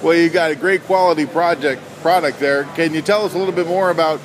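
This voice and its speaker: A man's voice: a little high, fast. Enthusiastic man interviewing at a noisy expo. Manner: enthusiastic, hearty praise. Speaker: magazine publisher and interviewer. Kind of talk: upbeat trade show interview. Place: busy indoor boat show hall. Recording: loud crowd and hall noise.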